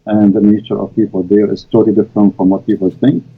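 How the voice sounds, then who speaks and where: A man's voice: lowish voice, little pitch movement, fast, breathy edge. A man whose first language is Arabic, from the Middle East, speaking as a guest in hesitant, filler-laden English with non-native grammar over a call line. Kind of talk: casual live-stream conversation. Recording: tinny call audio.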